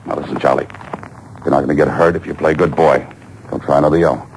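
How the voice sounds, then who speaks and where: A man's voice: rumbling low, fairly even intonation, fast. An American actor playing a coolly menacing, wry and taunting man on the run in an old-time radio drama. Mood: cool menace. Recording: noisy old radio show taped off air.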